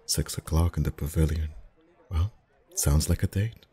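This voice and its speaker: A man acting as a friendly festival face painter with an extremely low, mellow voice. He chats intimately in ASMR roleplay style, easygoing and gently teasing, with a flirty streak. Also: moderate pace. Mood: flustered, flirty. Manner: chatty, gently teasing, soft chuckles. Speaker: voice actor in an audio roleplay. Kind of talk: ASMR roleplay, one-sided intimate conversation.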